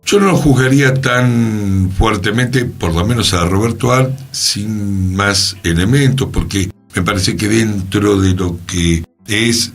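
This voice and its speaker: A man's voice: lowish voice, slow pace, faint music behind. A man with an Argentine accent discussing things reflectively and conversationally on a radio panel.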